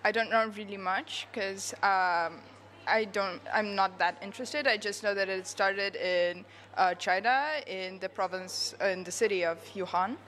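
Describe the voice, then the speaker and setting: A woman's voice: medium pitch, unhurried, a bit bright. Offhand young woman from Romania. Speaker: student interviewee.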